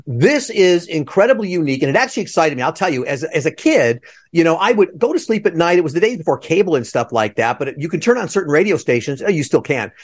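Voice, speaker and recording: Male podcast host, higher-pitched voice, lively intonation, chatty, digressive, conversational. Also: quick pace. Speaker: podcast host interviewing theater people. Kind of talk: conversational interview.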